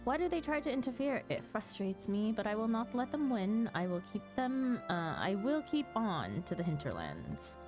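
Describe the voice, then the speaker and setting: A woman's voice: medium pitch, very animated, normal speed, hardly any pauses, pitched above the performer's own voice, natural voice. A woman voicing an earnest, formal fantasy game creature that speaks a little hesitantly to its master. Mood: earnest, determined. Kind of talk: reading game quest dialogue aloud in character. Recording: music behind the voice.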